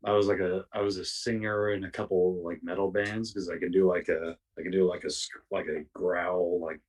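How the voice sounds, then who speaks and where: Deep, raspy-voiced man. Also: flat delivery, brisk, a little mellow. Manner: self-deprecating, frequent slang and swearing. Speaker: podcast guest. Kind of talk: casual interview chat. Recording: distant from the mic.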